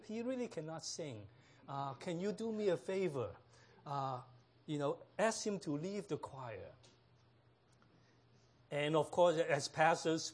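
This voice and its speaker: High-voiced slow-talking pastor from Hong Kong. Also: male voice, darkish tone. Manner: unhurried, laughs at own jokes, frequent fillers. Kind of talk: sermon with humorous stories.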